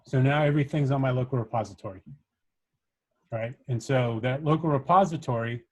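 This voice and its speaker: A man's voice: medium pitch, fairly quick, breathy edge. Man giving a casual coding tutorial. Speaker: workshop instructor.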